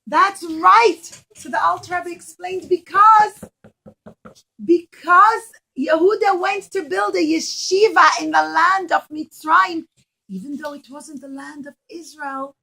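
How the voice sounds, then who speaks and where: A woman with a high, bright voice teaches preschoolers through storytelling. She speaks in simple, emphatic phrases and keeps checking in with questions for the children. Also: creaky, poor audio. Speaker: preschool teacher giving a puppet show. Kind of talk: storytelling lesson to young children.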